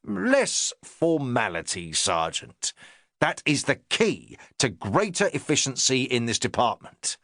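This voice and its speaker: A man's voice: medium pitch, big pitch swings, slow, a bit bright, no echo, clean studio sound, heavily acted. A man voicing a pompous, hectoring police inspector in an audiobook, talking down in jabbing phrases. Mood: lecturing. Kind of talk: audiobook character reading.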